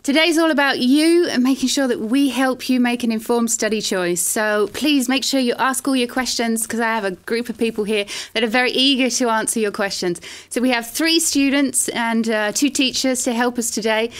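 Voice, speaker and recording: A woman's voice: fairly high pitch, moderate pace, few pauses. A British woman lecturer hosting a live online open day and passing viewers' questions to a panel. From Britain. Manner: friendly, upbeat, moderating questions. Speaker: host and lecturer.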